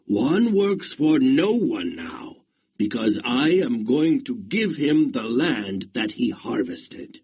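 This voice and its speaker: A man voicing an old village landowner in a storybook read-along, speaking slowly and deliberately. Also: medium pitch, big pitch swings, darkish tone, slightly rough, heavily acted. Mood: firm.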